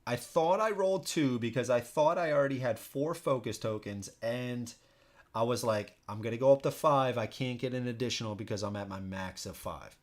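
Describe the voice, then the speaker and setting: A man with an average-pitched voice gives casual live commentary as he plays a board game. He thinks out loud in an informal way, often saying a phrase again as he works through his moves. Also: normal speed. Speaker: streamer hosting a solo board game playthrough. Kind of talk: casual live stream commentary while playing.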